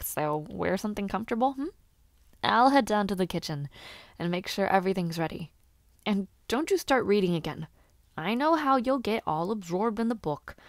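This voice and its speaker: A woman's voice: medium pitch, no echo, studio quality. A woman playing an eager, playfully bossy young noblewoman who hopes to be a knight, in an intimate audio roleplay, with formal old-fashioned phrasing and little hesitations.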